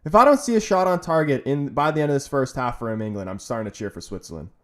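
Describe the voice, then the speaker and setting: A man's voice: medium pitch, expressive, quick pace, a bit bright. A young man from Canada hosting a football watch-along stream, chatting with viewers and reacting to the match with frustrated, rambling bursts. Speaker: livestream watch-along host. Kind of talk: casual live-stream chat and match reaction.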